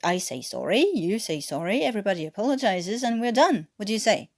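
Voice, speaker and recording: A woman's voice: mid-range voice, sing-song, fairly quick. A woman voicing a cheeky, reasoning male British airman in an attempted English accent, blunt, chummy and sardonic, for an audio story. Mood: coaxing.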